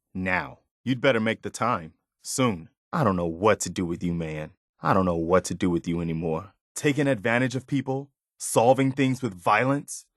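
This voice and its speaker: An actor voicing an earnest, principled, loyal but weary militia officer in a video game, speaking in a sincere, measured, plain-spoken way. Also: male voice, mid-range voice, no room sound, studio quality, natural voice. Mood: stern, fed up. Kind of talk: video game character dialogue.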